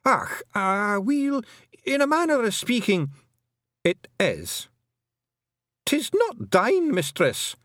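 A man voicing a tiny, nervous, excitable male pixie with a Scottish accent, gabbling in a storybook reading. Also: high voice, a little slow, no echo, clean studio sound, pitched above the performer's own voice, exaggerated voice. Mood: panicked. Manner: gabbling, shrieking, evasive.

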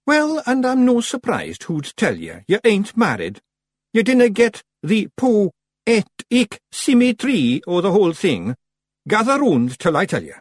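A high, swooping Scottish voice for a pint-sized clan chief. He is bossy and boastful, hectoring his troops in emphatic storybook speeches. The sound is close and dry. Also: wildly swooping pitch, unhurried, breathy edge, no echo, exaggerated voice. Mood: insistent.

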